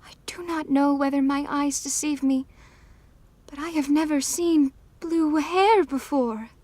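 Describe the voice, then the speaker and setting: A frail young storybook maiden, acted by a woman, with a high, mellow voice. She is soft, polite and hesitant, wary yet hopeful, recorded very dry. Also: measured pace, no echo. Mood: timid, hopeful. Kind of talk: dramatised audiobook acting.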